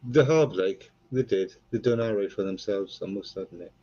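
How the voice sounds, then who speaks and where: A man with a Geordie accent, co-hosting a relaxed live stream and chatting casually, swearing freely and rambling between jokes. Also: fairly low pitch, normal speed, muffled recording. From North East England.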